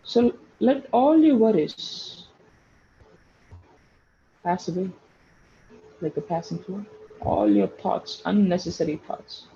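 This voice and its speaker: A man leading a guided meditation, giving slow, soothing instructions and repeating short phrases for emphasis. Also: sits high, animated, gravelly, warm tone, dull audio, slight background noise. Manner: repeats phrases for emphasis, addresses listeners as friends.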